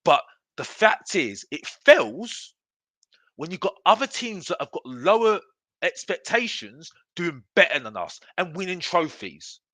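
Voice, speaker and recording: A man's voice: high-pitched, big pitch swings, unhurried, no echo. A man hosting a football fan vlog, giving an opinionated rant in an emphatic, repetitive way, leaning on rhetorical questions. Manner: addresses listeners as bro and ladies and gentlemen. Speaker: football fan channel host.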